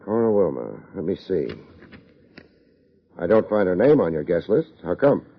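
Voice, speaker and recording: An actor playing a tough, wry American private investigator in a 1950s radio drama, terse, slangy and deadpan. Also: male voice, sits low, moderate pace, exaggerated voice. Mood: brisk questioning. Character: tough, wry male private investigator narrating his case. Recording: dull old radio recording, music behind.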